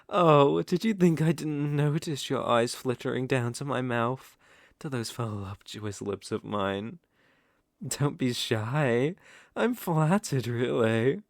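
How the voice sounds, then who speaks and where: A man's voice: mid-range voice, no room sound, studio quality. A British man playing a suave, possessive vampire count in an intimate ASMR roleplay, teasing and commanding in theatrical, old-fashioned phrasing. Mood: seductive, amused.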